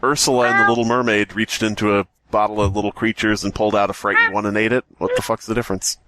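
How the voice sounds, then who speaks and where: A man's voice: on the low side, level tone, fast talker, pushed and bright, dry recording. A man hosting a podcast, chatting casually and reading horror stories aloud with joking, sweary asides. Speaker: podcast host. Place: remote group voice call.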